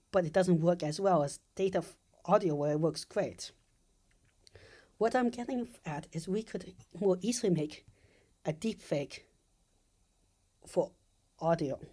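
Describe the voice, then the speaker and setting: A woman's voice: fairly low pitch, mellow. A woman giving an informal explanatory monologue, thinking aloud as she explains technical ideas step by step. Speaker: video essayist.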